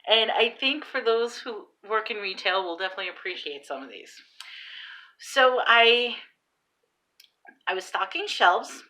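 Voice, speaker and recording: A woman in her thirties with a New York Long Island accent, telling stories in a casual vlog, recorded on a phone at arm's length in a small room. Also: medium pitch. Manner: animated, exclamatory storyteller. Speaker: vlogger and online seller.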